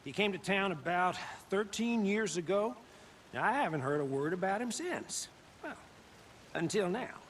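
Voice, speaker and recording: Small-town gossip, male, video game. High-pitched, very animated, sly and theatrical, faint rainy hiss. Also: moderate pace. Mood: sly, knowing.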